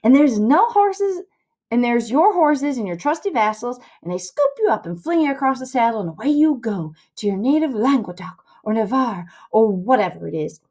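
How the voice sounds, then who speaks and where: A bossy young schemer from a storybook, acted out by a woman, lecturing in rustic dialect. The voice is on the high side and swoops up and down as he grandly scorns anyone more practical. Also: big pitch swings, normal speed, warm tone. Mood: excited, scheming.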